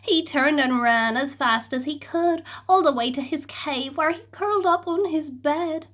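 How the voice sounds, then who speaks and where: A woman narrating a children's storybook in an expressive, playful way. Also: sits high. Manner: animated, slow and playful. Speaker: storybook reader.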